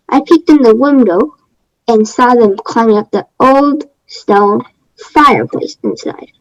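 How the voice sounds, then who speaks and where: A woman playing a prim, aggrieved fairy-tale woman, matter-of-fact and self-justifying, in readers theater. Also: on the high side. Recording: dull, lo-fi microphone sound.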